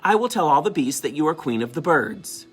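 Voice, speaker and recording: A man voicing a sly male fox who flatters in a honeyed, drawn-out way for a children's storybook. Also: fairly high pitch, husky, soft background music, voice pushed up. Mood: flattering.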